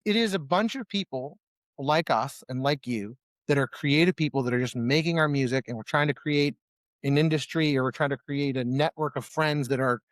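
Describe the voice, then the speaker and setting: A man's voice: medium pitch, normal speed, no room sound. A man from Ohio, a musician, talking casually in an interview, rambling with many restarts and acting out his own past reactions. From Ohio, USA. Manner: rambling, many restarts and fillers, animated when quoting himself. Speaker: musician guest. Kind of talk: casual conversation.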